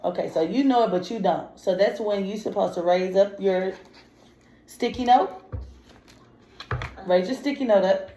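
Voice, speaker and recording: A woman's voice: mid-range voice, moderate pace, pauses often, mellow, slight background noise. A woman teacher reading a picture story aloud to children and prompting them with simple comprehension questions in informal speech. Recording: echoey room.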